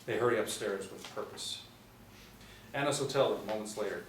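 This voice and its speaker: A young man narrates screenplay directions at a table read. His voice sits slightly low, picked up from a distance in an echoey room. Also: normal speed. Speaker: narrator reading stage directions. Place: small meeting room, seated in a row. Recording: echoey room, distant mic, faint noise.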